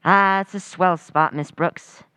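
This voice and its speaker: A woman's voice: on the low side, steady tone, a little slow, bright, forceful tone, clean tone, dry recording. A woman playing an eager, chatty teenage schoolboy in an old-time radio comedy, with gushing politeness. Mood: chatty, earnest. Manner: earnest, gushing, boyish.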